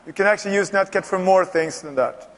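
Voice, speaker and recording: A man in his forties with a fairly high voice, chatting and bantering in front of a conference crowd. He jokes easily and keeps things light between technical points. The long echo of a large hall surrounds him. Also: fairly quick. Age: 40s. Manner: bantering, jokey, laughs often. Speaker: security presenter. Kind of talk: joking conference co-presentation.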